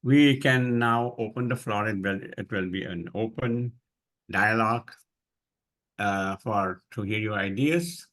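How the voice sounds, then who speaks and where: A man moderating an online discussion in an informal way, speaking haltingly and searching for his words. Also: lowish voice, a bit bright. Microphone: online video call.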